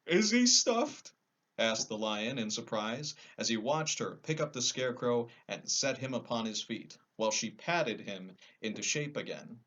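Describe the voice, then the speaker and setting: A man's voice: fairly low pitch, moderate pace, few pauses, fairly bright tone, highs cut off. A man narrating a children's storybook as a storyteller.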